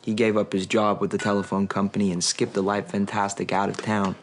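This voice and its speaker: An actor playing a wistful young son who narrates his memory play in a poetic, measured radio drama monologue, addressing the audience. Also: lowish voice, little pitch movement, close to an everyday voice.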